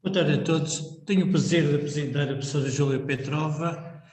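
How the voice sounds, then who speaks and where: A man's voice: fairly high pitch, a little mellow, reverberant, long reverb tail. A man formally introduces the seminar speaker. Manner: formal, reading out a title.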